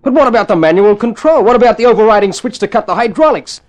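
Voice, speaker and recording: A man's voice: sits high, expressive, fast talker. A man playing a probing aircraft engineer in a 1950s radio drama, emphatic and full of questions. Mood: challenging, sceptical.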